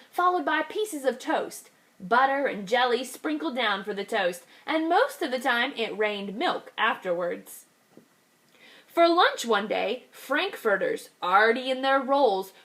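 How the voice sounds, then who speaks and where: Lively woman reading a picture book to kids. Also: on the high side. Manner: lively, expressive reading to young children. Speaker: kindergarten teacher. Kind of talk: read-aloud storytelling for children.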